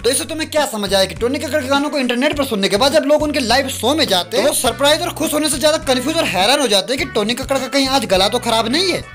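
Fast, high-voiced young man roasting. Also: fairly bright tone, faint music behind. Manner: casual, mocking, rapid banter. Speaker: roast video creator. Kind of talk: comic roast voice-over.

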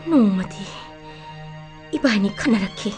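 A woman's voice: medium pitch, racing, lightly acted. A woman in a radio play, playing a discontented, ambitious village wife with a nagging, emphatic manner. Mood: reflective, subdued. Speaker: radio drama actress. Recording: music under the voice.

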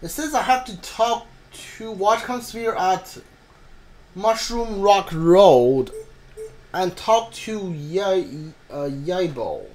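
A man's voice: sits high, lively intonation, slow pace, a little mellow, rough recording. A man doing casual live game commentary, talking to his chat in a hesitant, repetitive, rambling way.

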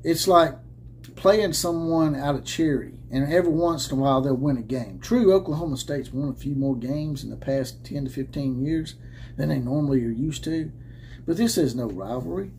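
Sarcastic guy in his 30s ranting about football to his cam, kinda high voice, faint hum behind. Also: normal speed, a little mellow. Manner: combative, sarcastic, laughs mockingly, swears. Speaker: host of a college football commentary channel. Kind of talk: opinionated rant to camera. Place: indoor room with caps hung on the wall. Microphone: camera at desk framing head and shoulders.

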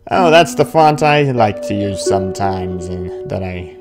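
A man's voice: fairly low pitch, expressive, unhurried. A man giving casual, rambling gameplay commentary, muttering asides to himself. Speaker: gamer hosting a playthrough. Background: game music.

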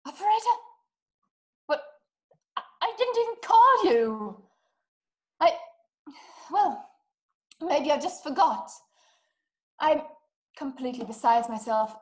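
A woman's voice: high voice, animated, takes it slowly, long pauses. A young woman playing an anxious, haughty, bedridden wife in a drama, agitated and imperious. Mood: frightened, confused. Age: young adult. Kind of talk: radio-play acting over video call. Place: small room indoors. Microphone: webcam video call.

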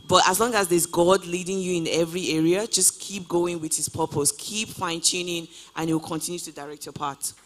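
A woman's voice: fairly low pitch, steady tone, brisk, hardly any pauses, a bit bright. Young woman chatting upbeat on stage. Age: 20s. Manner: upbeat, many "you know" fillers. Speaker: guest traveler. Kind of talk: casual interview answers on stage. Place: large church auditorium stage. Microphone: handheld mic.

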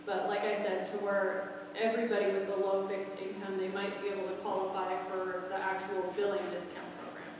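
A woman's voice: medium pitch, monotone, fairly quick. A woman answering residents' questions at a public meeting, clarifying details, recorded from a distance in a large fire station bay. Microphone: far camera. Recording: echoey hall, distant mic, background noise.

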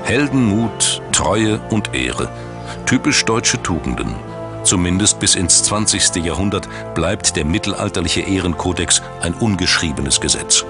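Male documentary narrator, low register, measured dramatic storytelling over prominent music. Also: expressive. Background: background music.

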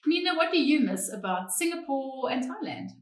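A woman's voice: high-pitched, normal speed. A woman in her forties from South Africa, a missionary mother presenting a scripted segment at home. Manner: lively, animated presenter. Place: home dining room, indoors. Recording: echoey room.

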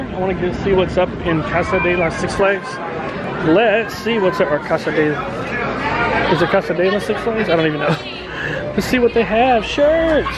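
Chatty man vlogging in a loud theme park. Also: sits high, rough recording. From Texas. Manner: chatty and goofy, whooping on rides. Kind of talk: casual theme park vlog. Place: outdoor theme park and gift shops. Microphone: handheld camera at arm's length. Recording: loud crowd and music behind. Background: crowd and park music.

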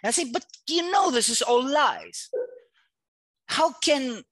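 A man's voice: extremely high pitch, lively intonation, a bit bright, dry recording. Greek guy in his 40s on a headset video call, lots of uh and restarts, dry close sound. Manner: halting, searching for words with many fillers and restarts. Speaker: guest artist. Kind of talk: casual interview conversation. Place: room at home.

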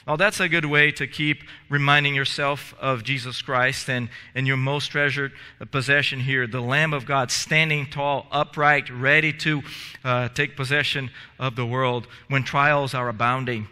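A man's voice: mid-range voice, steady tone, unhurried, bright, forceful tone, clean tone, long reverb tail. A man in his thirties preaching a sermon in a church, earnest and gesturing, often restarting and repeating words. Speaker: pastor. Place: church sanctuary in front of stage steps. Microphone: headset mic.

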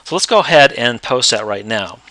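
A man explaining step by step how to use accounting software in a screen-recorded tutorial. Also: mid-range voice, lively intonation, a little fast, dry recording. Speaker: software trainer.

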